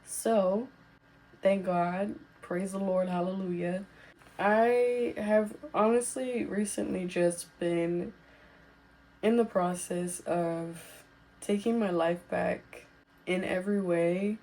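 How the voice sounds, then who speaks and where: A woman hosting a podcast as casual solo girl talk, informal and reassuring, her voice slightly raspy as she recovers from being sick. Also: mid-range voice, steady tone, takes it slowly, dark tone, rough recording. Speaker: podcast host.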